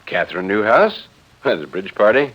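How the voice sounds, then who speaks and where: Actor as decent suburban husband, low voice, uneasy, questioning, vintage radio drama, dull sound. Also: male voice, big pitch swings, moderate pace. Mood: pleasant. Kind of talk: 1940s radio drama acting.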